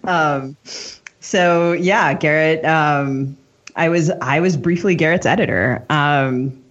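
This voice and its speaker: A woman's voice: low-pitched, slow pace. A woman hosting a podcast in a playful, casual style. Her voice is on slightly dull, band-limited audio as she banters and introduces guests. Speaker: podcast co-host and novelist.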